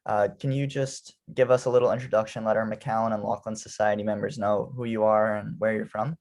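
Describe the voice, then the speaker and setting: A man's voice: on the low side, little pitch movement, fairly quick, darkish tone. A young man interviewing a classmate, friendly and hesitant, with plenty of ums and likes. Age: young adult. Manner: friendly, hesitant, many fillers. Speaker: interviewer, graduate assistant. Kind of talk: casual interview.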